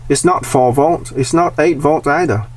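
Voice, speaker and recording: A man's voice: a little high, normal speed, a little mellow. A man giving a casual hands-on DIY demonstration, explaining step by step in short, plain phrases. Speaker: DIY tutorial host. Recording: distant mic, background noise.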